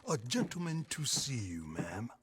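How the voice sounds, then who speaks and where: An actor playing a polite manor servant in a western video game, formal and deferential. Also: male voice, medium pitch, very animated, unhurried, noisy background. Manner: formal, subservient. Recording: music under the voice.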